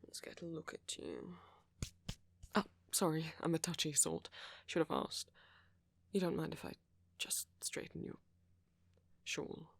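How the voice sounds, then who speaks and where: A man acts out a friendly vampire with a gravelly, breathy voice, recorded very dry and intimate for an ASMR roleplay. He talks slowly and hesitantly, often sinking toward a whisper. His manner is flirty and apologetic, full of gentle concern and soft teasing. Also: fairly high pitch, dry recording, exaggerated voice. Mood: solicitous, sheepish.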